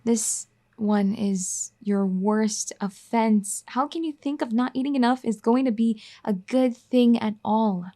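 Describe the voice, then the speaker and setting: A woman voicing a caring, firm young wife in an ASMR roleplay, soft-spoken and gently scolding. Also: a little high, measured pace, few pauses.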